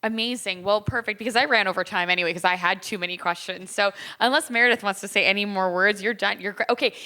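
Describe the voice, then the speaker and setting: Bright-voiced woman hosting a panel. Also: mid-range voice, fast talker. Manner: gracious, effusive thanks. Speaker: panel host. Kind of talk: moderating a panel. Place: public panel event before an audience. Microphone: corded handheld mic.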